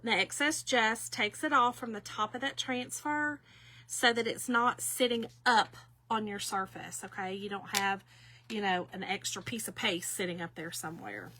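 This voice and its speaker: A woman in her early fifties walks viewers through a craft project in a loose, talkative way. Her voice has a bright, forward edge, and she keeps checking in with the audience as y'all. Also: medium pitch, moderate pace, slight background noise. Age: 50s. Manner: chatty, folksy, addresses viewers as y'all. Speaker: craft demonstrator. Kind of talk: casual craft tutorial.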